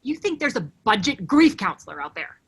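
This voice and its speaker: A woman's voice: fairly high pitch, very animated, fairly quick, breathy edge, exaggerated voice. A woman in a staged play reading, playing an exasperated office worker with a sardonic, theatrical manner. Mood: irritated, sarcastic. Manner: sarcastic, theatrical.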